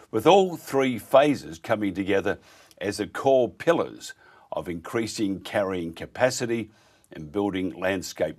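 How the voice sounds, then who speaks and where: A hoarse Australian man hosts live breakfast radio. His voice sits very low and gets rough as he rants bluntly. He slips into mocking voices to send up his targets. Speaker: radio host.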